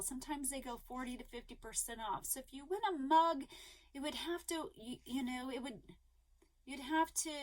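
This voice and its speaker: A woman talking casually to her viewers as a crochet vlogger, rambling and upbeat with lots of ums and restarts, her voice a little hoarse. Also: high voice, low-quality recording. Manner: eager and upbeat. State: slightly hoarse, losing her voice.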